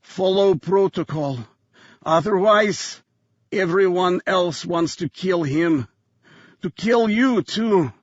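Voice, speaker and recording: An audiobook narrator voices a wounded foreign hitman with a heavy accent. He speaks slowly in labored, halting phrases, cryptic and menacing, in a dry but slightly dull recording. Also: high-pitched, dry recording, voice pushed up. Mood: labored, ominous.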